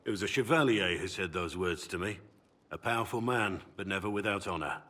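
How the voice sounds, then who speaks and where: A man playing a brooding, honourable middle-aged warrior in a video game, with measured, earnest delivery. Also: low voice, bright, forceful tone. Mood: earnest, reflective. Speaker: video game character voice actor. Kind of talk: dramatic game dialogue.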